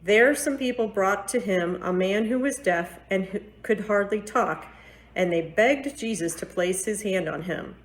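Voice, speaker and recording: A woman's voice: mid-range voice, unhurried, poor audio. A woman reading a Bible story aloud as a church scripture reader.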